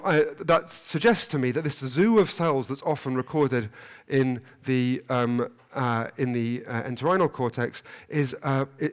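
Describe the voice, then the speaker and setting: A middle-aged man giving a conference keynote lecture in a hall, talking in halting bursts with many restarts and fillers. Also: medium pitch. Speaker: keynote speaker. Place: lecture hall, standing at lectern.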